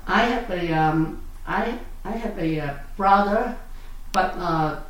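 A woman, answering interview questions in halting speech full of fillers and self-corrections. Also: fairly low pitch. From South Vietnam. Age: 60s. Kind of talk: oral history interview. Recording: echoey room, distant mic, faint noise.

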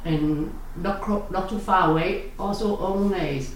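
A woman, answering interview questions in halting speech full of fillers and self-corrections. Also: lowish voice. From South Vietnam. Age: 60s. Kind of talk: oral history interview. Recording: echoey room, distant mic, faint noise.

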